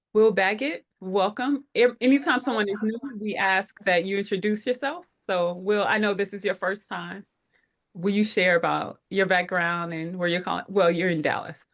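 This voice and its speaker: A woman hosting an online group call, welcoming people in an upbeat way with frequent fillers. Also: medium pitch. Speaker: head of operations, meeting host.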